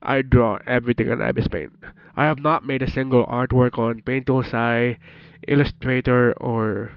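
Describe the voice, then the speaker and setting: A man's voice: mid-range voice, steady tone, moderate pace, smooth, no room sound. A man in his twenties with a Filipino accent, a bit under the weather, chatting casually with his stream viewers, laughing often and teasing them with joking swears. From Philippines. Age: 20s. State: slightly sick. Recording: dull lo-fi stream audio with faint music.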